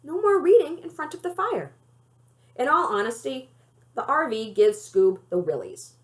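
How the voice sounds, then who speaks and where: A woman reads a children's novel aloud as a librarian would for young listeners. Her voice is on the high side and very animated in pitch. The delivery is expressive storytelling narration. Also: normal speed.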